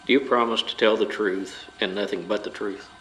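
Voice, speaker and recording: A man's voice: lowish voice, fairly even intonation, brisk, fairly bright tone, close to an everyday voice. A man playing a stern, formal police officer questioning a suspect in an audio drama. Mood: stern, accusing. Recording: clearly noisy background.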